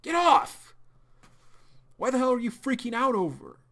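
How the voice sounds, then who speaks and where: A man voicing an impulsive, hot-headed teenage boy who shouts and demands answers. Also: medium pitch, fairly even intonation, a little fast, natural voice. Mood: angry.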